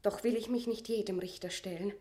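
A woman's voice: medium pitch, steady tone, fairly quick, dark tone, lightly acted. An actress playing a proud, aggrieved imprisoned queen, dignified and imperious, with formal declamatory diction in a classical German verse radio drama. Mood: proud, pleading.

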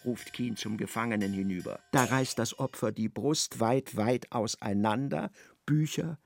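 A man narrating a literary radio play in a measured, vivid storytelling manner. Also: mid-range voice, gravelly.

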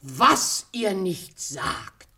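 Vain, scheming queen for radio drama, hugely animated intonation, unhurried, wheedling then imperious. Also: mid-range voice, husky, heavily acted. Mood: coaxing, sly. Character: vain, cruel queen, at times posing as a coaxing pedlar woman. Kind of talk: radio play acting.

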